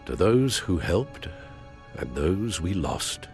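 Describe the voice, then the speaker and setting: A loyal old English butler, hurt but dignified, in a dramatic video game. His British voice is low, with lively rises and falls. He speaks in a measured, fatherly way over clear background music. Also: heavily acted. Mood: earnest, fatherly. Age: older.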